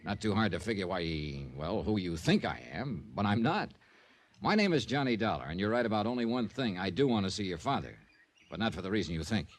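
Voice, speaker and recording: A man's voice: lowish voice, sing-song, fairly quick. A man playing a wry, easygoing insurance investigator who narrates his own case in an old-time radio detective drama. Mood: wry, placating. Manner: wry, dry wisecracks. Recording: vintage radio recording with faint music.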